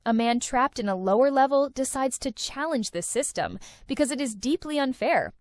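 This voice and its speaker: A woman's voice: high-pitched, expressive, normal speed, no echo. A woman narrating a countdown of film and series recommendations in an enthusiastic, recommending tone. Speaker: video host. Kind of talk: scripted list-video narration.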